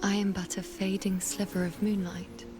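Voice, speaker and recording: A woman voicing a solemn, gentle, resigned woman in a video game, speaking in a grave, poetic and measured manner. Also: medium pitch, level tone, over music, lightly acted. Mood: resigned, sorrowful. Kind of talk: dramatic video game dialogue.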